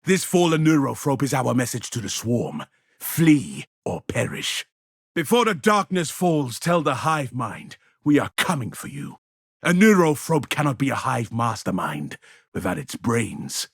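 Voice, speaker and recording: An actor playing a devout, commanding space marine with a husky voice in a video game. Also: male voice, medium pitch, sing-song, measured pace, no echo, studio quality, full character voice. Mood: solemn menace. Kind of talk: video game combat voice lines.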